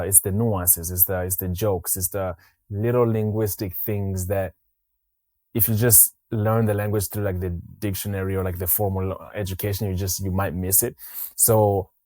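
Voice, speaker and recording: A man with a very low, deep voice hosts a relaxed podcast conversation. He talks in a halting way, stopping and restarting his sentences often. The sound is dry and close, with little room echo. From Mozambique. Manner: halting, many restarts and fillers. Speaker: podcast host. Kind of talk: casual interview chat.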